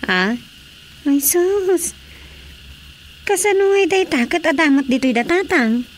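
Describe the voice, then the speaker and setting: An actress playing an expressive wife in a radio drama, speaking with an Ilocano Filipino accent. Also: female voice, very high-pitched, slow, a little hiss or hum, heavily acted. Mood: skeptical, resigned.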